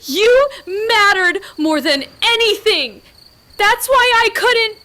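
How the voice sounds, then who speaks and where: A woman playing a guilt-ridden young woman in an audio drama, speaking in an emotional, halting, confessional way. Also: extremely high pitch, animated, bright, forceful tone, heavily acted. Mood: desperate, pleading. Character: Mags, guilt-ridden, anguished young woman, former best friend. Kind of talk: naturalistic audio drama acting.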